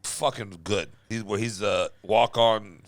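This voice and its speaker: A man's voice: fairly low pitch, steady tone, takes it slowly, no room sound. A man hosting a podcast, talking in casual, slangy chat with friends. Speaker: podcast host.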